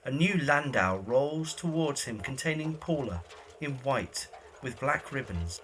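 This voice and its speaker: A man's voice: medium pitch, background noise. A man giving scripted narration of a story, setting each scene in the present tense.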